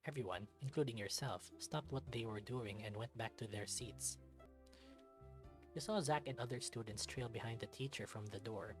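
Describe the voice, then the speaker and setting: A narrator reading a visual novel aloud in expressive second-person storytelling. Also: medium pitch, moderate pace, soft background music.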